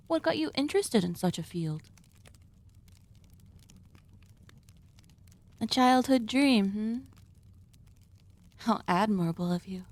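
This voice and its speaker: A woman playing a polite, sinister female cannibal surgeon hosting dinner in an ASMR roleplay, speaking in formal, deliberate phrases. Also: medium pitch, no echo, natural voice. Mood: intrigued, coy. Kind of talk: ASMR roleplay, scripted monologue addressed to listener.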